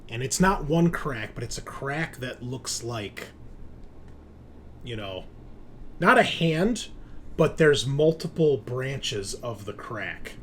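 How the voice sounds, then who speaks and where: A man's voice: mid-range voice, slight background noise. A man narrating a tabletop horror game as game master in a casual, conversational way.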